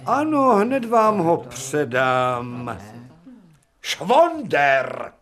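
Imperious professor, wildly swooping delivery. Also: male voice, on the high side, huge pitch swings, fairly bright tone, exaggerated voice. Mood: exasperated. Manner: curt, sardonic, authoritative. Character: imperious, ironic male professor, exasperated and unbending. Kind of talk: radio play acting.

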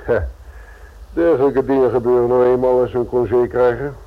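An actor in a radio play voicing a brisk, guarded security firm manager, courteous but firm, with a husky voice. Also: male voice, mid-range voice, flat delivery, close to an everyday voice. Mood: businesslike. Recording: tinny, narrow-band old radio recording.

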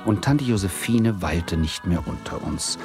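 An actor playing an adult nephew who narrates a radio play in a wistful, ironic, literary way. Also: male voice, deep voice, animated, measured pace, over music. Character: wry adult nephew, stalled doctoral student, narrating.

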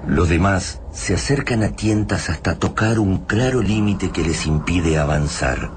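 A man with an Argentine accent playing a grave narrator of an alien invasion radio drama, speaking in a solemn, deliberate, ominous way. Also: low-pitched, slight background noise.

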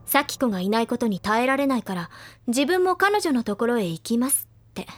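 A woman playing a confident, teasing high-school senior girl who recounts gossip in a feminine storytelling way in an audio drama. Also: lively intonation, fairly quick. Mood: grave recounting. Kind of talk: anime audio drama dialogue.

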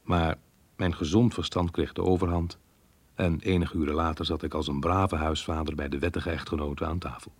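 An actor playing a melancholy married man reading his diary in a radio drama, earnest, reflective and measured. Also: a bass voice, flat delivery, husky, no room sound. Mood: melancholy, wistful. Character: married man, melancholy diarist torn by guilt over lost love.

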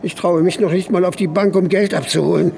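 An actor playing a frightened, hard-of-hearing old man in a radio play, talkative, loud and plaintive. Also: high-pitched, steady tone, a little fast, gravelly, slight background noise. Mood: agitated, plaintive. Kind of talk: German radio drama acting.

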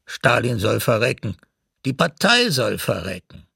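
A man's voice: mid-range voice, very animated, a little slow, creaky, bright, forceful tone, slightly rough, no echo, studio quality, full character voice. An actor playing an embittered, defiant old grandfather in a radio drama, declaiming emphatically against his oppressors. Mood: bitter defiance. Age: older. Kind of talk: documentary radio drama monologue.

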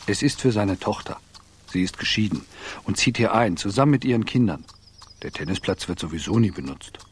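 A man's voice: lowish voice, lively intonation, fairly quick. An actor playing a curt, defensive estate employee, loyal to his boss, in a radio crime drama. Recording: clearly noisy background.

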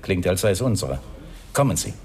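An actor playing an irascible, grumbling police inspector, gruff and emphatic, in a German radio crime drama. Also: male voice, low-pitched, sing-song, brisk, over loud music. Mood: grave.

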